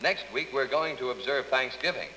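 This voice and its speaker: A straight-faced radio announcer with a bright, forward voice. He reads silly letters with formal gravity in a comedy skit. The old recording has long reverb and faint noise. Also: medium pitch, normal speed. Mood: deadpan, formal. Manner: deadpan, formal. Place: radio studio.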